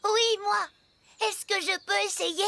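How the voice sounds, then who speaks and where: A performer voicing a small, proud and helpful elf child in a children's cartoon, deliberate and self-assured. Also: very high voice, fairly even intonation, vocal fry, bright, forceful tone, exaggerated voice. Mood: earnest. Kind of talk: children's cartoon dub acting.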